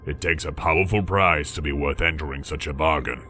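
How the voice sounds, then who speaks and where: A narrator voicing a gruff, hulking male demon henchman in an audiobook. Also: extremely low pitch, fairly quick, pushed and bright, soft background music, voice pushed down. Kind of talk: audiobook character voice.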